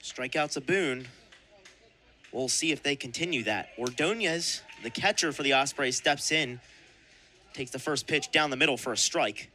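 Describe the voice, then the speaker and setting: Bright-voiced young male baseball announcer. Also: mid-range voice, moderate pace. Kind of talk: live radio sports commentary.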